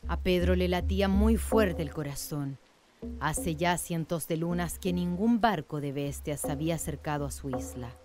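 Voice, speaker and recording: A woman's voice: low-pitched, moderate pace. A woman narrating a scripted radio drama in a literary storytelling manner. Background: music.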